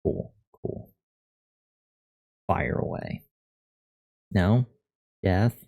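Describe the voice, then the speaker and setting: Deep, mellow, unhurried man, monotone delivery. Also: vocal fry. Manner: thinking aloud, reading out and answering chat. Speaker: live streamer learning to code. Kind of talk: casual live-stream chat while coding. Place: untreated room at a computer. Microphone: USB condenser microphone at a desk.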